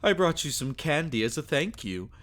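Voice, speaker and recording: A man's voice: fairly high pitch, very animated, moderate pace, a bit bright, pitched above the performer's own voice. A man voicing grateful, eager villagers and children, gushing and exclamatory, in an ASMR roleplay audio drama. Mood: gushing, excited.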